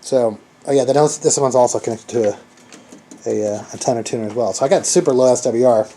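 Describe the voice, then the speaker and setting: A man giving a casual, unscripted show-and-tell as a hobbyist, talking haltingly and thinking aloud as he goes. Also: medium pitch, dark tone. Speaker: hobbyist showing radio gear.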